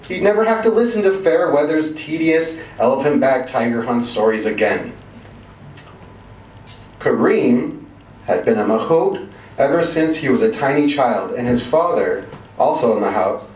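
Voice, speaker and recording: A man with a medium-pitched voice performs a literary short story. His delivery is expressive, measured and wryly emphatic. The sound is roomy, with some faint noise behind him. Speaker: reader of a short story at a live reading. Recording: roomy, distant mic, faint background noise.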